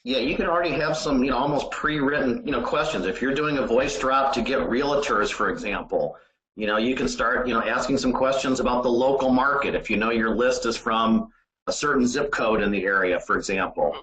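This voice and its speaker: A man giving informal sales training in a halting, conversational way, often saying "you know". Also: medium pitch, a bit bright. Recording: roomy, echoey sound.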